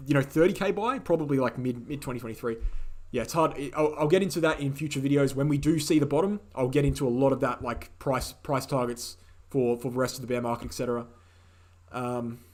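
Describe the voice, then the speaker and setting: A man hosting a live question-and-answer stream, speaking in a casual, opinionated way, repeating key phrases for emphasis, his voice slightly croaky. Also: mid-range voice, fairly quick, a little mellow. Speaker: host answering viewer questions. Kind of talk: casual livestream Q&A.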